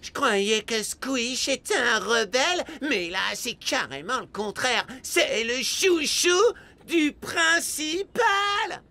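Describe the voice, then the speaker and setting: A woman's voice: high voice, lively intonation, slow pace, bright, forceful tone, soft background music, heavily acted. A woman in a French cartoon dub voicing a scornful young schoolkid creature who taunts in a drawl. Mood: mocking. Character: scornful young schoolkid cell, accusing, mocking.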